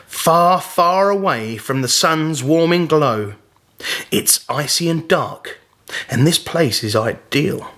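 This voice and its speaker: Tiny cosmic genie for a children's storybook, played by a man: showy, teasing, theatrical, unhurried delivery. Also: mid-range voice, a bit bright. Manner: theatrical, rhyming proclamations.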